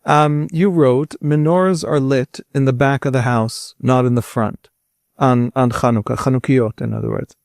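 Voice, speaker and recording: A man's voice: mid-range voice, fairly even intonation, normal speed, creaky, a little mellow, no room sound, studio quality. A man hosting an interview, asking earnest, searching questions and often restarting his sentences. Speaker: podcast host.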